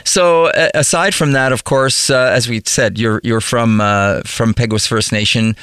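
A man hosting an interview, asking questions haltingly with many "uh"s and encouraging his guest. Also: medium pitch, fairly even intonation, clear, resonant tone, no room sound, studio quality. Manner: warm, hesitant, many "uh"s, encouraging. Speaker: interview host.

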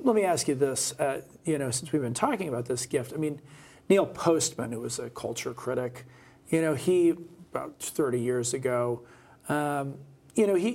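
A man with a mid-pitched voice hosts a discussion podcast. He thinks aloud, circling back and restarting phrases as he builds toward his point. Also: darkish tone. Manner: halting, repeats and restarts phrases as he reasons aloud. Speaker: podcast host. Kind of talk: conversational discussion.

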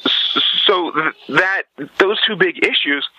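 A man's voice: high voice, slow pace, no room sound, dull audio. A man giving blunt, emphatic opinions as a guest in a podcast interview.

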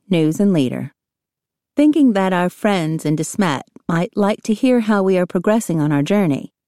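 A woman's voice: lowish voice, warm tone, no room sound, studio quality. A woman reading as a courteous pioneer letter writer, formal, precise and plain-spoken. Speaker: audiobook reader of letters. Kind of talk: scripted reading of historical letters.

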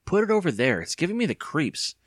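A teasing, practical young girl from an audiobook, played by a man. She sounds skeptical and matter-of-fact, with very lively intonation and a dry, close sound. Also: fairly high pitch, fairly quick, dry recording, pitched above the performer's own voice. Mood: uneasy. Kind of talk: audiobook character voice.

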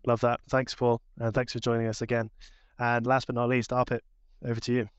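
A man's voice: medium pitch, monotone, fairly quick, clean tone, dry recording, lo-fi sound. A man hosting a panel podcast, introducing guests and handing over questions. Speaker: podcast host.

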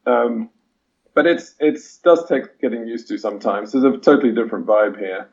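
A man who was born in Chile and grew up in Britain and South Africa, a historian answering interview questions in a measured, hesitant way with many fillers. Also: medium pitch, gravelly, darkish tone, muffled recording. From Chile-born, raised in Britain and South Africa. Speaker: historian guest. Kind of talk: podcast interview.

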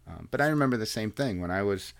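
A man's voice: on the low side, lively intonation, fast, gravelly. A man hosting a podcast, chatting with his wife in an earnest, rambling way full of restarts. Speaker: podcast host and coach. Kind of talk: casual chat. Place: home recording room with wife. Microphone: plugged into recording software.

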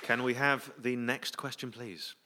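A man's voice: mid-range voice, steady tone, a little slow, over a noisy crowd. A man hosting a live comedy show in a mock-officious, formal manner, taking questions from the audience. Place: radio theatre stage before an audience. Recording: live theatre, loud audience, noisy.

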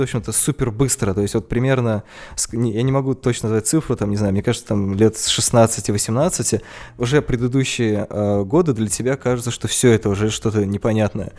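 A man's voice: mid-range voice, a little mellow. A man co-hosting a podcast, musing aloud in casual chat. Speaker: podcast co-host.

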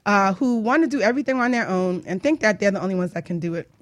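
A woman's voice: mid-range voice, animated, fast, no room sound. Playful woman hosting talk radio, close dry sound. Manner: playful, teasing, informal. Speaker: radio show host and business coach. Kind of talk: casual radio talk show chat. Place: radio studio.

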